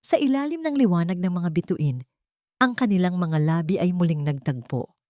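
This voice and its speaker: A woman's voice: lowish voice, lively intonation, moderate pace, warm tone, dry recording, studio quality. A woman narrating a scripted dramatic story as a storyteller and host.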